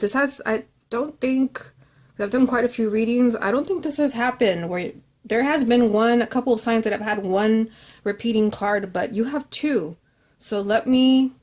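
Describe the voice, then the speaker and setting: A woman's voice: a little high, normal speed, darkish tone. A woman reading tarot cards in an informal, reflective way, speaking earnestly and directly to the listener. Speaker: tarot reader.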